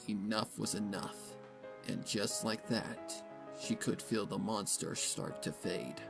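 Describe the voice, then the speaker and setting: A man's voice: fairly low pitch, fairly even intonation, takes it slowly, vocal fry. A man reading a story as a dramatic narrator, in scripted prose. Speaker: fan fiction narrator. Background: music.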